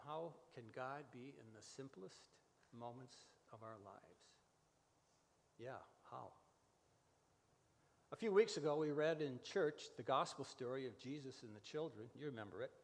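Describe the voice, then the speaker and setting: An older man hosting a church radio show, telling reflective stories in measured, deliberate phrases with pauses. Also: medium pitch. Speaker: radio variety show host. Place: large church fellowship hall, live radio show. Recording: echoey hall, music behind.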